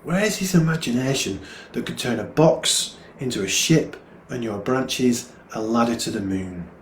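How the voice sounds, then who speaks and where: A man's voice: mid-range voice, animated, measured pace, natural voice. A man with a northern English accent voicing a worried, loving mother in a poetry reading, wistful and confiding. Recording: roomy echo, clear background noise.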